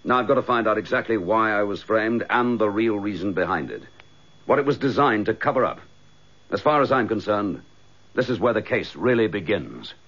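An actor playing a determined chief inspector in a radio drama, with a clipped, forthright English delivery. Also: male voice, lowish voice, lively intonation, moderate pace, a bit bright, slight background noise. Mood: resolute. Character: determined, dogged police chief inspector, recently suspended. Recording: dull, lo-fi radio drama recording.

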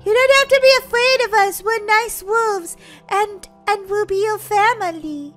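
Woman playing a very squeaky, friendly wolf kid. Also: a little slow, a bit bright, soft background music. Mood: reassuring. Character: friendly, chatty wolf child and mother wolf. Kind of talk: children's Roblox roleplay story.

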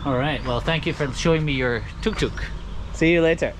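A man's voice: fairly high pitch, fairly quick. Upbeat man vlogging on a noisy street. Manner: upbeat, chatty, greets passers-by. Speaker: travel vlogger. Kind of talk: casual walking vlog narration. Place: outdoors on a busy street. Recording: street traffic noise behind.

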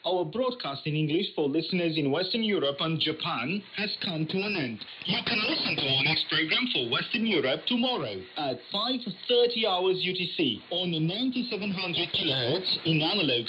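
A woman's voice: lowish voice, fairly bright tone. A woman working as a radio continuity announcer, reading a scripted closing announcement over shortwave. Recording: noisy shortwave radio reception. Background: faint radio static.

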